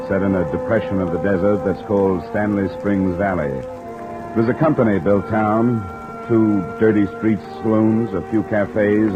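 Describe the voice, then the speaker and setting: Male actor as hardboiled American radio sleuth, deep and understated, dryly wry, on dull old broadcast audio with rainfall. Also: lightly acted. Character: cool, wry freelance insurance investigator, a man. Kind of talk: 1950s American radio detective acting and first-person narration. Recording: muffled vintage radio recording, rain behind.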